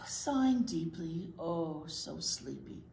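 An older woman reading a picture book aloud to children as a storytime teacher, animated and savoring the words. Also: fairly low pitch, slow pace, vocal fry. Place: indoor library storytime room. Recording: roomy sound, faint room noise.

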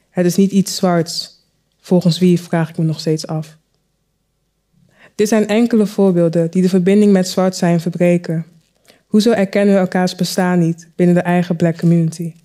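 Mellow woman reciting spoken word. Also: fairly low pitch. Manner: deliberate, dramatic phrasing with rhetorical questions. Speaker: storyteller, spoken word performer.

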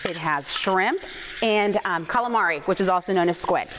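A woman's voice: lowish voice, moderate pace, background noise. A woman in her thirties, a restaurant chef guest, explaining a dish step by step in an upbeat way on a TV studio kitchen set. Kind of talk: cooking demo on TV.

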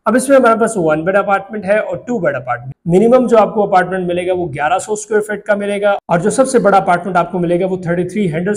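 A young man with a Pakistani Urdu accent presenting property prices to camera in a steady, explanatory sales pitch, recorded indoors in an office on a lapel mic. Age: young adult. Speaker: real estate agent.

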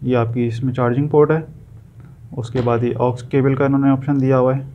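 Male demo presenter, middle pitch, informal explanatory delivery, slightly noisy indoor phone recording. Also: little pitch movement, a little fast. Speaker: product reviewer giving a tutorial. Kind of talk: informal step-by-step product demonstration. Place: indoor room, tabletop demonstration. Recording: dull sound with background noise.

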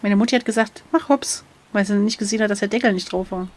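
A woman's voice: mid-range voice, steady tone, fast talker, soft background music. A woman chatting casually and cheekily as the player in an adventure gamebook. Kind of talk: casual playful chat.

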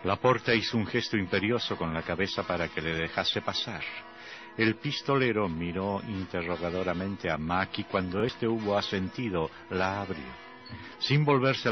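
A man with a deep voice narrates a scripted horror radio play. Faint music plays behind him. The recording is dull, like vintage radio.

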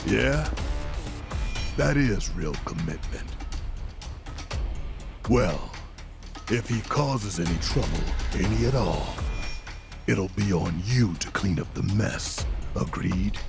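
A low, gravelly male voice as a menacing yakuza lieutenant in a video game. He is gruff, suspicious and challenging. Music is clear underneath. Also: lively intonation, slow pace, pauses often, heavily acted. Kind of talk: dramatic video game cutscene dubbing.

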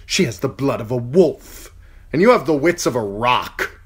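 A man voicing a sharp-tongued dwarf lord. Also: mid-range voice, very animated, measured pace, husky, voice pushed up, heavily acted. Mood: scornful. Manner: cutting and scornful.

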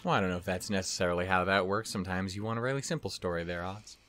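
A man in his thirties giving casual, rambling reaction commentary as a host, swearing and halting as he digresses. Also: deep voice, a bit bright, soft background music. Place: small furnished room at home, indoors. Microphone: close desk condenser microphone.

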